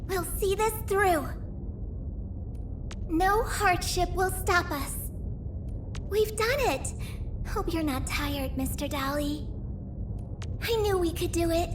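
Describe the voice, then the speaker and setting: A woman voicing a gentle, earnest, caring young female researcher in a video game, with a soft, unhurried delivery. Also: very high-pitched, background noise. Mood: encouraging. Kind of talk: video game character voice lines.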